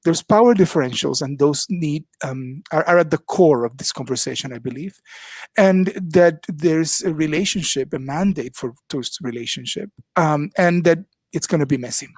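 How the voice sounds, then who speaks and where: An older man speaks slowly and reflectively, often pausing with "um". He talks into a headset on a video call from his kitchen. The sound is dry and close, with no room echo. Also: a little high, darkish tone. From Dominican Republic. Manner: thoughtful, frequent ums, measured. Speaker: panelist, minister of worship arts. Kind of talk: reflective panel talk. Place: home kitchen.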